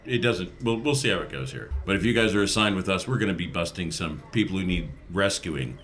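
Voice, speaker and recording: A man's voice: sits low, animated, brisk, fairly bright tone, slight background noise. A man playing a tabletop game in casual, joking chat.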